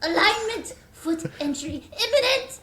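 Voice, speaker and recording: A woman's voice: very high-pitched, animated, unhurried. A woman voicing a grim, commanding piece of bubble gum in an audio comedy, with clipped, dramatic pronouncements in a roomy space. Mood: urgent. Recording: roomy sound, faint background noise.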